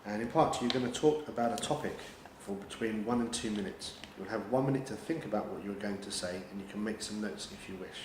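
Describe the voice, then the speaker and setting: A man with a lowish voice asks test questions in a calm, measured tone. The room gives his voice a clear echo, and steady background noise sits under it. He sounds like an examiner reading out instructions at an even pace. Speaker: IELTS speaking examiner. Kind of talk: mock speaking test questions.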